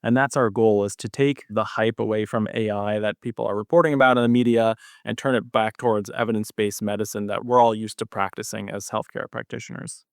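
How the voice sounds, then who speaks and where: A man's voice: on the low side, fairly even intonation, a little fast, vocal fry, hardly any pauses, no room sound, studio quality. A young man speaking as an expert podcast guest, explaining his work conversationally in an interview. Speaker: cardiology fellow, podcast guest.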